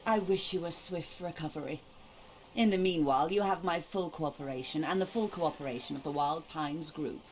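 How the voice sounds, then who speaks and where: A man voicing a striking, courteous woman company representative in a video game, formal, measured and composed. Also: medium pitch, a little hiss or hum, voice pushed up, natural voice, poor audio. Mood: composed, cooperative.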